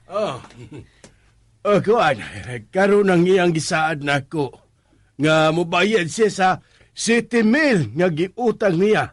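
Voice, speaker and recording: Slow, high-voiced Cebuano debt collector. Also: male voice, no room sound, studio quality. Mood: insistent. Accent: Cebuano Filipino. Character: slow-talking male debt collector from the neighborhood. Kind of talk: Filipino radio drama acting.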